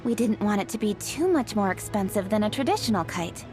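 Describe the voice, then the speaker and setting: An actress playing an earnest young official in a video game, speaking in a measured, explanatory way. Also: female voice, medium pitch, lively intonation, music in the background, lightly acted. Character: young official woman, earnest, proud of tradition.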